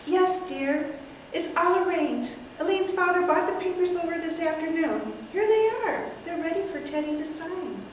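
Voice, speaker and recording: A woman reading the part of a sweet, genteel elderly aunt, gentle and matter-of-fact, in an echoey room far from the mic. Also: very high voice, animated, normal speed, a little hiss or hum, pitched above the performer's own voice. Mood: placid.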